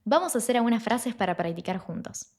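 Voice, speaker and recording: A woman giving an online lesson as an Italian teacher, explaining sounds clearly and slowing down to model them. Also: a little high, expressive, darkish tone.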